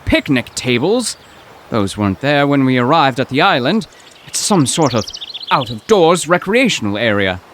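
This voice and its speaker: An actor playing a timid, pedantic poetry professor in an old-time radio comedy drama, prissy and given to flowery wording. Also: male voice, medium pitch, very animated, moderate pace, fairly bright tone, slight background noise. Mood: bewildered.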